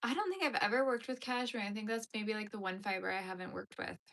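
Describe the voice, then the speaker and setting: A woman's voice: mid-range voice, fast, fairly bright tone. Chatty woman in her thirties, rambling. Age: 30s. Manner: rambling, chatty, lots of fillers. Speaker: knitting channel host. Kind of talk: casual chat while knitting.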